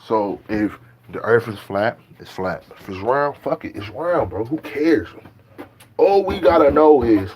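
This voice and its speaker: A man's voice: mid-range voice, lively intonation, a little slow, darkish tone, a little hiss or hum. A man from Ohio, ranting casually in loose, slangy talk and preaching his views. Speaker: beatmaker talking to camera. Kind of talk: casual rant.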